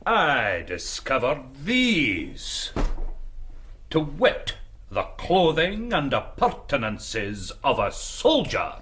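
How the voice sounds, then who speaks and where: Pious scottish busybody accuser, slow and officious, voice rises n falls a lot, radio drama. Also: male voice, mid-range voice, wildly swooping pitch, fairly bright tone, heavily acted. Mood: accusing. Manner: deliberate, officious, formal. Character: sanctimonious, accusing male Scottish kirk complainant.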